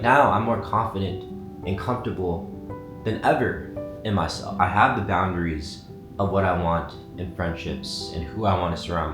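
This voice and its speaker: Young man vlogging, low voice, roomy. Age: 20s. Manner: casual, candid, swears freely. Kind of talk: reflective personal vlog. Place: apartment. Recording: roomy sound with loud guitar music.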